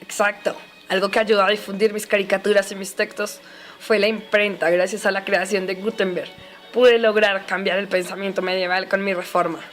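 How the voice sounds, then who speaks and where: A woman's voice: mid-range voice, flat delivery, normal speed, a bit bright, faint music behind, natural voice. A woman playing a pious, earnest male reformer monk in an amateur educational radio play, with solemn scripted delivery.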